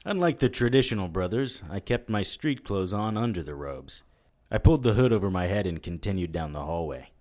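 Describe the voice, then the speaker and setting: A world-weary supernatural investigator narrates in a very deep voice. He is dry and wry, telling his own story in noir audio drama style. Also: animated, a little fast, darkish tone. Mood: dry, resolute. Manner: dry, matter-of-fact, wry.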